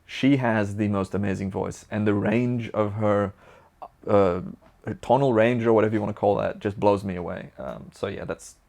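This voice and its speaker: A man's voice: lowish voice, steady tone, normal speed, vocal fry, a little mellow. A young man from Belgium with a Flemish accent, a native Dutch speaker, answering viewer questions in a chatty, upbeat and rambling way. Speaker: vlogger.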